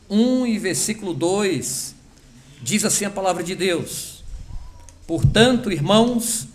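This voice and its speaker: Earnest pastor reading scripture, fairly high. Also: male voice. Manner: earnest, emphatic, slowing on key words. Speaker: host pastor. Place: church.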